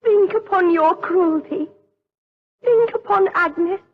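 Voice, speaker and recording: An impassioned young nun in gothic radio drama. Her very high voice carries desperate, theatrical intensity. The sound is slightly muffled and old. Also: slow. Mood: anguished, furious.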